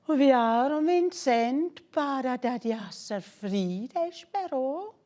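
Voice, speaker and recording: A woman's voice: high-pitched, expressive, drawn-out words, mellow, pitched above the performer's own voice, full character voice. A woman voicing a friendly, concerned female hare in a children's storybook, sympathetic and questioning.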